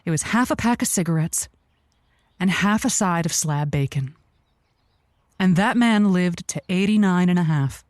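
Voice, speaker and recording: A woman playing an earnest, rambling advice host on an improvised comedy call-in, halting and theatrically emphatic. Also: fairly low pitch, expressive, no room sound, studio quality, lightly acted. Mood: earnest, persuading.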